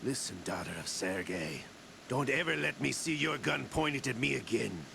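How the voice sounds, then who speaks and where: A man's voice: mid-range voice, expressive, normal speed, background noise. An actor playing a scheming older male mercenary who talks in a cold, threatening way, in a video game cutscene. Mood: menacing. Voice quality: gravelly. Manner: sneering, threatening. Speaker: scheming veteran officer.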